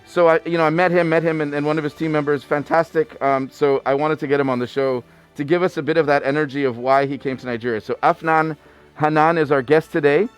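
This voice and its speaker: Fast-talking higher-voiced male radio host. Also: fairly even intonation, clean tone, soft background music. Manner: brisk, chatty, joking. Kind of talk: casual radio talk.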